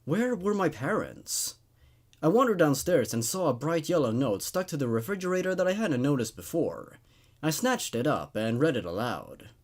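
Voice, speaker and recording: A man's voice: on the high side, big pitch swings, normal speed. A man hosting a show where he reads horror stories aloud with dramatic narration and laid-back commentary.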